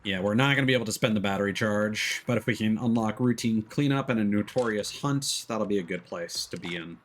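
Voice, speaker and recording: Low-voiced man streaming a game. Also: a little fast, fairly bright tone, faint music behind. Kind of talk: casual livestream commentary and reading game text aloud.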